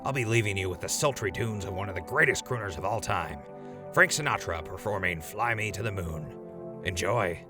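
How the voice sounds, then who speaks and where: Man playing a low-voiced, affable radio host. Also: a little fast, lightly acted. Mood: friendly. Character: affable, easygoing male wasteland radio host. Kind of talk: post-apocalyptic radio drama acting. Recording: music behind the voice.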